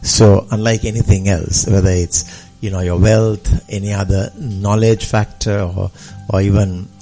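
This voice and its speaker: Deep, mellow-voiced man. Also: little pitch movement, measured pace, faint music behind, low-quality recording. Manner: earnest, with fillers. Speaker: foundation representative. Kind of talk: speech-like radio talk.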